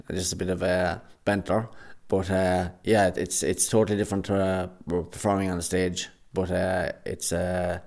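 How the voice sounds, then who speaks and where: Low-voiced Irish man, halting. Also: darkish tone. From Ireland. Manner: hesitant, many fillers and restarts. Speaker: radio drama actor. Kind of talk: casual interview chat. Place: recording studio.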